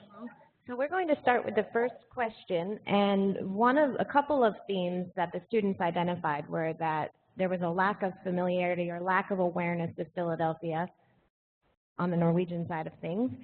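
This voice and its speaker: A woman moderating a panel discussion at an event, politely guiding the speakers. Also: mid-range voice. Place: event hall. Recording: tinny, echoey hall, faint noise.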